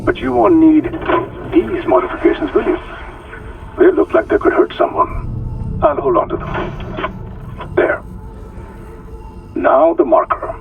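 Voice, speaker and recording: Sinister doctor, extravagantly swooping voice. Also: male voice, a little high, huge pitch swings, a little slow, creaky, long pauses, over music, exaggerated voice. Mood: smug menace. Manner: mock-courteous, menacing. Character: sinister, smugly polite male mad doctor villain. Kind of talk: video game audio log acting. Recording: lo-fi audio log.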